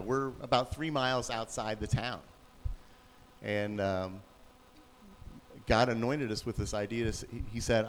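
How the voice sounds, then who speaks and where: A man with a very low, deep voice speaks to a congregation. He tells his story in a relaxed, plain way, with faint noise behind him. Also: rough recording. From Pennsylvania, USA. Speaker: youth pastor. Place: church.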